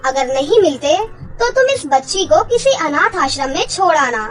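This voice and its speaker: A woman's voice: sits high, big pitch swings, normal speed, faint music behind. A woman voicing a wise, caring fairy queen who advises firmly in a cartoon fairy tale. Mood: concerned, advising.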